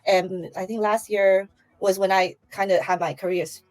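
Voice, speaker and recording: A woman's voice: mid-range voice, fairly even intonation, moderate pace, darkish tone, poor audio. A woman from Taiwan, a guest on a livestream interview, chatting casually with many fillers and restarts over a remote call mic.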